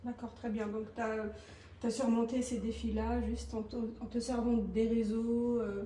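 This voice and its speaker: A woman's voice: fairly high pitch, level tone, moderate pace, dark tone. A woman interviewer asking brief, informal questions and giving short acknowledgements. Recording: echoey room, distant mic, faint noise.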